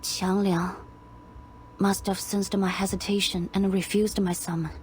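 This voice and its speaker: A woman's voice: medium pitch, flat delivery, a little slow, darkish tone, slight background noise, lightly acted, rough recording. A woman playing an earnest, dutiful young police officer, polite, sincere and resolute.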